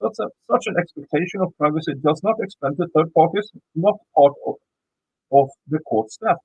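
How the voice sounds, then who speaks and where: A man with a Swedish accent reads dense legal text aloud in English, tripping over words and backing up to restart them, then cutting in with blunt asides of his own. His voice sits a bit high for a man and is slightly husky. It is recorded dry and a little muffled. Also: fairly quick, darkish tone, no echo. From Sweden. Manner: stumbling, restarting words while reading. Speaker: YouTube commentator. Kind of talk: reading a legal filing aloud with commentary.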